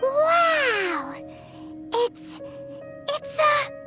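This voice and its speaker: Casting: tiny childlike fairy sidekick, very high squeaky voice, swooping excitable delivery, anime game, music behind. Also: female voice, extremely slow, exaggerated voice. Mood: amazed, curious. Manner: exaggerated, bubbly, refers to herself by name. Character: tiny excitable childlike fairy girl companion, talks in third person. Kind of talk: anime video game voice acting.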